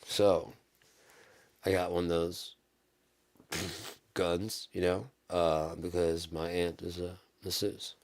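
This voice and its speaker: A man with a deep, bassy voice rambling loosely to his channel's viewers, with lots of restarts and swearing. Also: little pitch movement, slow pace, long pauses, dry recording. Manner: loose, profane, meandering with many restarts. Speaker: channel host. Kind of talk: rambling casual vlog.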